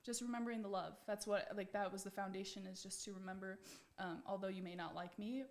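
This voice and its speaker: Young adult woman, American accent, medium pitch, earnest, reflective, conversational interview answers. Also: steady tone, fast. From United States. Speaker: pageant titleholder being interviewed.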